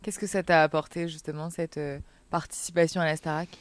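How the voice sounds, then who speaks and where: A woman hosting an interview podcast, asking brisk friendly questions with occasional fillers. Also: low voice, flat delivery, no room sound. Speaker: podcast host.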